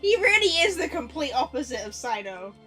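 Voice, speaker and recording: A woman's voice: high-pitched, big pitch swings, a little fast, sharp tone, soft background music. A woman giving casual, exclamatory livestream commentary on a game, repeating phrases and swearing freely.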